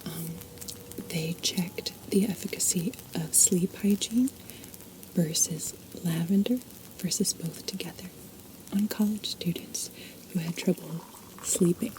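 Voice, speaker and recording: A woman whispering softly in an ASMR video, chatting and wandering off on tangents as she explains things. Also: fairly low pitch, rough recording. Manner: soft unvoiced whisper, chatty and digressive. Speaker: ASMR host.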